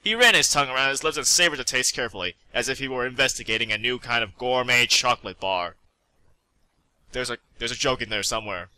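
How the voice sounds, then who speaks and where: A man's voice: mid-range voice, quick pace, pushed and bright, clean tone, no echo, low-quality recording. A man reading fan fiction aloud as a storyteller, with dramatic narration.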